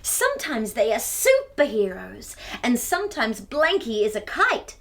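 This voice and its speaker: A woman with a high, very lively voice presents a children's storytime. She takes her time, reading expressively and breaking off with exclamations and questions for the little ones. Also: unhurried. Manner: animated, exclaiming, asks the young listeners questions. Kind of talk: expressive read-aloud storytelling for young children.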